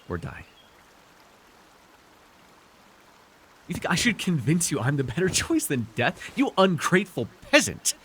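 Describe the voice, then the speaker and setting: A man's voice: mid-range voice, a little hiss or hum, close to an everyday voice. A man playing an arrogant, seductive fantasy warlord in an intimate roleplay, teasing and theatrical as he speaks to one listener. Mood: indignant, threatening. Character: arrogant, seductive male fantasy villain warlord, dream-wielding conqueror. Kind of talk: immersive ASMR fantasy roleplay acting.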